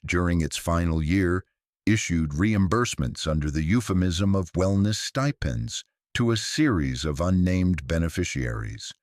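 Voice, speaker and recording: A man reading scripted mystery fiction as narrator, in a measured, dry and understated way. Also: deep voice, a little mellow, no room sound. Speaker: narrator of a detective story.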